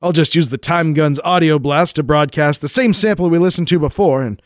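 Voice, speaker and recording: A man's voice: on the high side, a little fast, no room sound, clean studio sound, voice pushed down, natural voice. A man playing a jaunty, pun-loving time-travelling investigator with old-time patter in a 1940s-style radio play. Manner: old-time adventurer patter, calling others "pal" and "friend".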